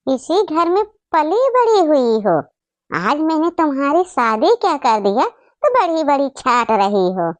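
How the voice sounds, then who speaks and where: A woman's voice: sits high, big pitch swings, unhurried, dry recording. A woman voicing a doting but bossy middle-aged mother and mother-in-law in a family comedy cartoon, fussing and giving orders. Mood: scolding. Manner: fussing, commanding.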